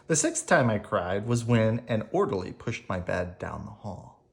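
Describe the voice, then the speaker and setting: A storyteller reading a children's picture book aloud in a gentle bedtime manner, adding spoken asides along the way. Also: mid-range voice, creaky. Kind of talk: expressive read-aloud with ad-libbed asides.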